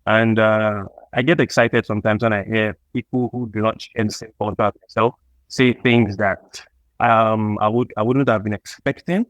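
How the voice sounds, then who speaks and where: A man's voice: fairly low pitch, steady tone, normal speed, a bit bright, no room sound, low-quality recording. Nigerian man in a dry, close recording. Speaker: comic book creator, interview guest. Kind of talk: conversational interview answers.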